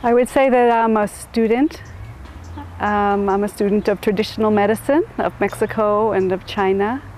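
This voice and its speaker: A middle-aged woman from suburban Chicago, answering interview questions in a thoughtful, halting way with many restarts. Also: mid-range voice, steady tone, darkish tone, a little hiss or hum. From suburban Chicago, USA.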